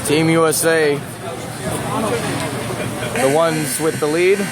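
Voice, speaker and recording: A man working as a play-by-play announcer, voice hoarse from calling many games, talking briskly and breaking into shouts on big plays. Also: a little high, steady tone, over loud music. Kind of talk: live sports play-by-play commentary. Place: small broadcast booth above an indoor rink. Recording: loud arena crowd and noise.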